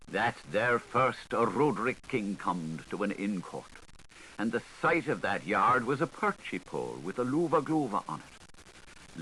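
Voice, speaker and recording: A male narrator with a mid-pitched, very animated voice reads a literary audiobook. His delivery is theatrical and playful, rising and falling widely. The older recording carries a faint layer of noise. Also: poor audio. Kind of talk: literary audiobook reading, dramatized.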